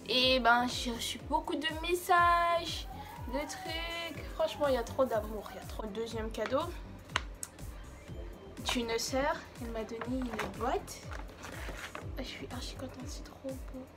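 A woman's voice: sits high, unhurried, over loud music. An eighteen-year-old woman chatting casually to camera in an effusive way, repeating words for emphasis. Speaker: vlogger. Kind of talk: casual birthday vlog chat to camera. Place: small bedroom, some clips out in the street. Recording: noisy amateur camera audio.

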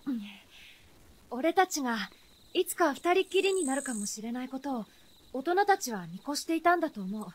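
A woman's voice: high voice, sing-song, normal speed. A woman playing a feisty, carefree young child with blunt, boyish speech in a Japanese radio drama. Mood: frank, reflective.

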